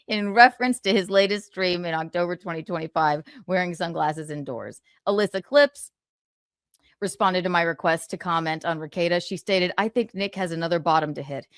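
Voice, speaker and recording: Low-pitched woman streamer, dry close sound. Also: moderate pace, clean tone, dry recording. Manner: gloating, sarcastic, theatrical emphasis. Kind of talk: casual livestream talk mixed with reading book passages aloud.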